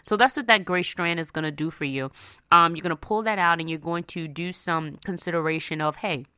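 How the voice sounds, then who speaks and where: A bright, punchy American woman speaks as a radio host, slightly low in pitch and very close and dry. She talks straight to listeners in a conversational, motivational way, often checking that they follow. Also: fairly quick, clear, resonant tone, dry recording. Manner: conversational, addresses listeners directly with frequent "right?" and "okay?". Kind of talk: motivational personal finance talk to listeners.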